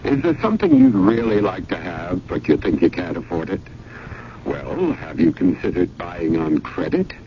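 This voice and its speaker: Very animated, gravelly male radio announcer. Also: deep voice, normal speed. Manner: emphatic, instructive. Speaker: public service announcer. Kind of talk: scripted consumer advice radio spot. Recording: noisy, muffled old radio broadcast.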